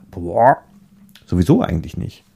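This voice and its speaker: A man chatting casually in a rambling, halting way, often repeating words as he searches for the next one. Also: deep voice, sing-song, vocal fry, warm tone, husky.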